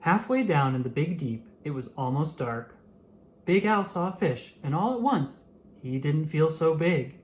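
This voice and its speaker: Animated children's storyteller with lively, swooping pitch. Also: unhurried. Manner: animated, acts out the dialogue. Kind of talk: expressive children's picture book read-aloud.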